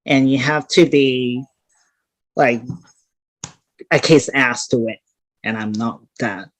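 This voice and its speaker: A woman's voice: very deep voice, slow, no room sound, rough recording. Female interview guest from Hong Kong, straightforward and blunt, casual talk, dry recording. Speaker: interview guest, competitive bodybuilder.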